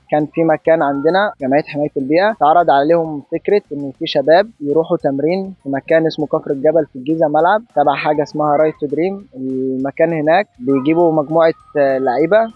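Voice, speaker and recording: A man's voice: fairly high pitch, dry recording. A man in his late teens with an Egyptian accent, telling his own story casually in an interview. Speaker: football academy player. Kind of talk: casual personal account in an interview. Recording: muffled dry sound, music behind.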